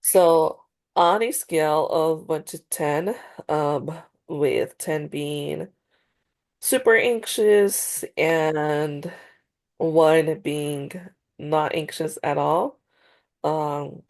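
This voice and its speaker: A woman's voice: deep voice, steady tone, drawn-out words, a little mellow. A woman playing a counselor in a practice session over a video call, congested and a little nasal, hesitant with many fillers and restarts. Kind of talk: role-played therapy session.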